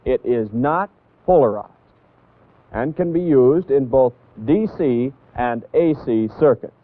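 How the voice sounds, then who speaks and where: An American man narrating a 1960s instructional training film as an instructor, in a deliberate, didactic manner that stresses key points. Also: mid-range voice, animated, dry recording. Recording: tinny, band-limited 1960s training film audio.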